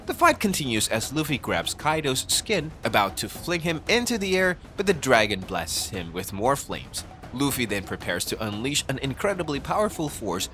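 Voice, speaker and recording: A man's voice: medium pitch, pushed and bright. A man reading scripted narration for an explainer video, recorded as a voice-over. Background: background music.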